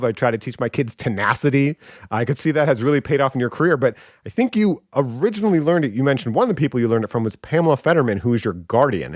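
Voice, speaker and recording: A man's voice: medium pitch, no echo, clean studio sound. A man hosting a podcast interview, talking in an enthusiastic, conversational way as he reacts to his guest. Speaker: podcast host.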